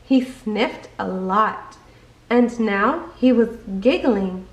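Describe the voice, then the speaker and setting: A woman reading a children's bedtime story aloud as an expressive narrator. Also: on the high side, very slow. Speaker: storybook reader. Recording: echoey room.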